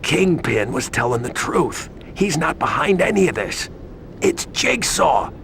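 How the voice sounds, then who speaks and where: An actor playing a nervous, streetwise male informant in a video game, with a creaky voice and hesitant, animated delivery. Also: fairly high pitch, measured pace, slight background noise, heavily acted. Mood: anxious. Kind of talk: video game cutscene acting.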